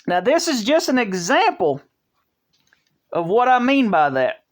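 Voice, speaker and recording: A vlog host giving casual opinion commentary, talking in a halting way, restarting phrases and filling pauses, in an earnest, conversational tone. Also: mid-range voice, very animated. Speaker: channel host.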